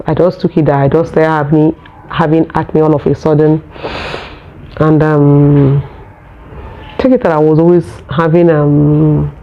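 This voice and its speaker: A Nigerian woman who speaks English as a second language, telling her own story in a vlog in an earnest, unhurried way. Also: deep voice, highs cut off. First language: Nigerian local language. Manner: earnest, unhurried, searching for words. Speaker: vlogger.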